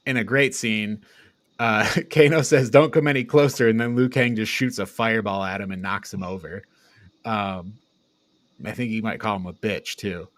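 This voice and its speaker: A man's voice: medium pitch, fairly bright tone, no echo. A man co-hosting a podcast, retelling a film in casual, rambling, sweary chat with frequent chuckles. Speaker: podcast co-host.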